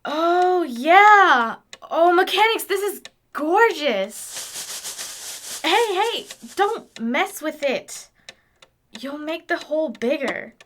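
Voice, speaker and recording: A woman's voice: extremely high pitch, expressive, takes it slowly, a bit bright, a little hiss or hum, exaggerated voice. A woman playing a nerdy, caring young engineer in an audio drama, talking in a casual, exclamatory, teasing way. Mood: amazed, fretting.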